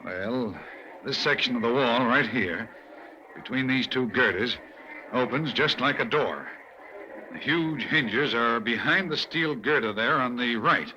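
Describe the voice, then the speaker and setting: An actor playing a nervous male crook who explains a hidden tunnel wall in halting, hesitant speech, in an old radio drama. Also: mid-range voice, lively intonation, bright, forceful tone. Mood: reluctant. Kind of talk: 1940s radio acting. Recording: dull lo-fi old radio recording, faint hiss.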